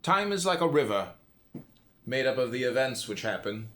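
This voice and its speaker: A man with a mid-pitched voice reads philosophical prose aloud. His phrasing is deliberate and emphatic, set apart by pauses, in the manner of an audiobook narrator. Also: big pitch swings, fairly bright tone. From United States. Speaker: audiobook reader.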